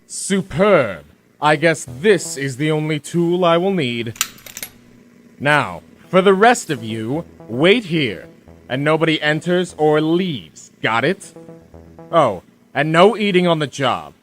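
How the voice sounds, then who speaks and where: Man playing a theatrical, smug villain with swooping pitch. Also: lively intonation, a bit bright, faint music behind. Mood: bossy. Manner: menacing, theatrical, drawn-out delivery. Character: smug male villain, self-proclaimed ruler of the universe. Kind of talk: comic sci-fi radio drama.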